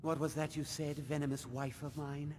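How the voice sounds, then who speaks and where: Male actor as a game innkeeper: gruff, sarcastic, roomy reverb. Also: a little high, little pitch movement, moderate pace, background noise, close to an everyday voice. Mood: bickering. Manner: grumbling, sarcastic. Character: gruff male innkeeper bickering with his wife.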